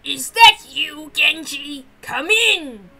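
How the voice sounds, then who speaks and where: A woman voicing a proud, boisterous old family patriarch in a hearty, theatrical, drawn-out way for a story reading. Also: high voice, lively intonation, vocal fry, sharp tone, soft background music, full character voice. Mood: commanding.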